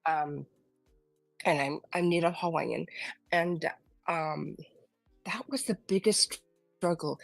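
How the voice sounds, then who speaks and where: A woman from the Philippines, a kindergarten teacher whose first language is not English, speaking in an online panel with halting, earnest remarks. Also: fairly low pitch, no echo, poor audio. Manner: halting, searching for words, earnest.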